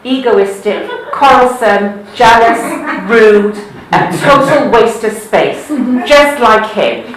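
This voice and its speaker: A woman's voice: a little high, animated, slow, noisy background. A woman from Wales with a Cardiff accent, reading poems aloud at a live event with chatty asides in between. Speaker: poetry reader. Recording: echoey room.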